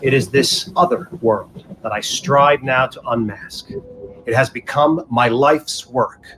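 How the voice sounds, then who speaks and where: An actor playing a grave, driven magician who investigates the supernatural, in an audio drama. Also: male voice, mid-range voice, measured pace, background noise, lightly acted. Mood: grave, determined.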